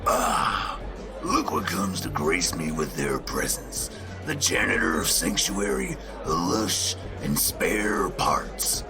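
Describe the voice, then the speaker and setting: A menacing shifter pack leader, played by a man, who gloats and mocks in a low, gravelly growl. He takes his time, lets his pitch swing wide, and slips into hissed whispers. Music plays behind him in this audio drama. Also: sing-song, slow pace, exaggerated voice. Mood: mocking. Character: menacing male shifter pack alpha, scornful.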